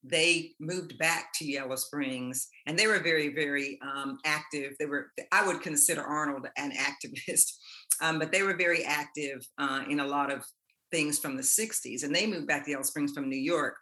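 Ohio woman, guest author, bright voice, kinda hesitant, lots of ums. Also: low-pitched, normal speed. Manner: soft-spoken, hesitant with fillers, laughs lightly. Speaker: guest, self-published author. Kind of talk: casual interview chat.

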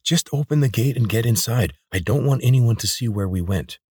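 A man's voice: medium pitch, lively intonation, a little fast, a little mellow, breathy edge, no echo, clean studio sound, voice pushed up. A man voicing an angry, suspicious young woman guard who speaks sharply and accusingly. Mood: hostile.

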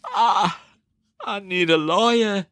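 A man's voice: sits high, slow pace, a bit bright, no room sound, studio quality. A man playing an accused poet in a theatrical interrogation, agitated, defiant and faltering as he protests. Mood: protesting. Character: accused poet, panicky, defiant, whiny suspect. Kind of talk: theatrical performance-poetry interrogation dialogue.

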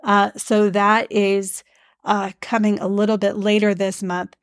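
A woman with a stuffed-up nose hosting a devotional hymn podcast, speaking in a gentle, teacherly way with frequent fillers. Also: medium pitch, little pitch movement, measured pace, no echo, clean studio sound. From Iowa, USA. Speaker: podcast host.

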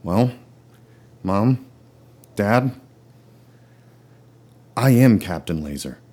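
Comedy sketch: male actor as twenty-something son, earnest, pleading, easily exasperated, very lively intonation. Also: fairly low pitch, drawn-out words, breathy edge, voice pushed down, exaggerated voice. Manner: hesitant, pleading with his parents. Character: earnest exasperated 25-year-old son confessing secret superhero identity.

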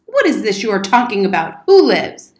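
A woman's voice: medium pitch, expressive, moderate pace, close to an everyday voice. A woman voicing a pompous, wordy old male soldier in a storybook reading. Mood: indignant, flustered. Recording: roomy, echoing indoor sound.

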